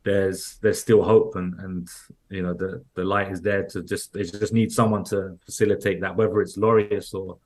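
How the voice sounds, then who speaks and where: A man with a London accent speaks as a podcast guest, his voice sitting very low. He talks loosely, circling back and restarting his sentences with plenty of "like" and "you know.". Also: flat delivery, normal speed. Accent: London English. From London, England. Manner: rambling, many restarts and "like"s. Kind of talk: casual interview conversation.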